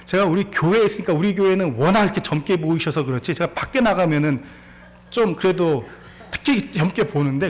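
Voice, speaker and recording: A pastor in his forties, a man preaching a sermon. His voice sits high and swings up and down a great deal. He tells his story with laughter and keeps asking the congregation questions. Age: 40s.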